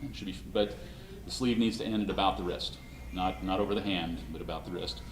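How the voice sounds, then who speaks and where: A man with a low, slightly hoarse voice walks through a costume demonstration in a halting way, often restarting his sentences. He sounds set back from the mic in an echoey convention room, with faint ambient noise around him. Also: far from the mic. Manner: halting, many restarts and fillers. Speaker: convention panel presenter. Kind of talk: live costume-making demonstration.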